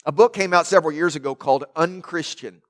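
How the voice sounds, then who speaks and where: A man preaching from the pulpit, his loud voice sitting a little high for a man. He presses his points with rhetorical questions and stacked lists, rising and falling as he builds. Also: fast. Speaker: pastor. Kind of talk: sermon.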